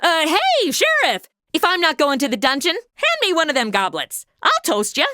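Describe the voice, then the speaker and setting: A woman's voice: high voice, very animated, normal speed, bright, forceful tone, no room sound, clean studio sound, heavily acted. A woman playing a sarcastic modern wisecracker posing as a minstrel in a comedy audio drama, with casual slangy delivery. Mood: cheeky.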